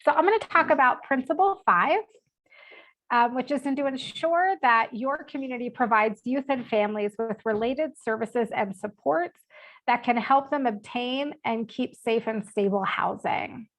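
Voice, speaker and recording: A woman's voice: fairly high pitch, moderate pace, a bit bright, low-quality recording. Stuffed-up woman presenting with a cold. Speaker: panel speaker. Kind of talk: webinar presentation.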